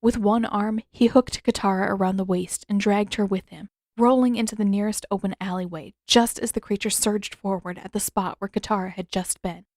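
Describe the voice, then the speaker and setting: A woman narrates a fantasy story in scripted audiobook style. Her pitch is average for a woman. The recording is dry, with almost no room sound. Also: fairly even intonation, moderate pace, few pauses, darkish tone, dry recording. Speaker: fan fiction audiobook narrator.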